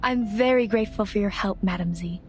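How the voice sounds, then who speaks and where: A woman's voice: mid-range voice, brisk, a little hiss or hum, natural voice. A woman voice actor playing a timid, polite young subordinate in a video game, with a hesitant, deferential manner. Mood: grateful, dutiful.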